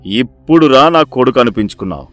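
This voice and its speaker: A man voicing a greedy, scheming village-head father who commands his son in a sly, domineering way, for an animated story. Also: mid-range voice, quick pace, no echo, faint music behind, close to an everyday voice.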